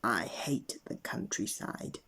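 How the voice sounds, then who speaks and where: A man's voice: slow, sharp tone, whispered. A man voicing a grouchy, moaning storybook character who scornfully complains about everything. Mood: grumpy.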